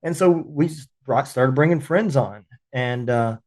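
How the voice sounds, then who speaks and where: Man, podcast host, veteran, casual chat, halting, lots of restarts. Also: medium pitch. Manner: halting, frequent restarts and fillers.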